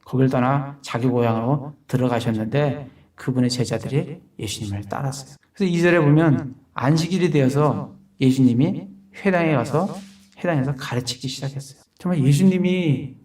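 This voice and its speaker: Korean pastor preaching from a distance. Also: male voice, medium pitch, moderate pace, a little mellow. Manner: explains verse by verse, asks rhetorical questions. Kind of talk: sermon.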